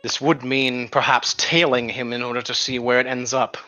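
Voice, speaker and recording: A man's voice: medium pitch, little pitch movement, normal speed, bright, forceful tone, faint music behind, close to an everyday voice, poor audio. A man voicing a cautious, formal informant in a fantasy tabletop mystery, choosing his words hesitantly and wordily. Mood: guarded, earnest. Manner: hesitant, wordy, formal phrasing. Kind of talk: improvised tabletop roleplay.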